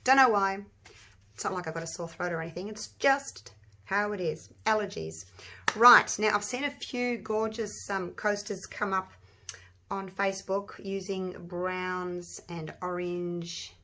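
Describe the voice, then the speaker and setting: An Australian woman with a croaky, husky voice, chatting casually as she walks viewers through a resin craft step by step. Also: mid-range voice, a little slow, pushed and bright. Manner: chatty, rambling, talks through each step. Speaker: resin craft channel host. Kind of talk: casual craft tutorial talk.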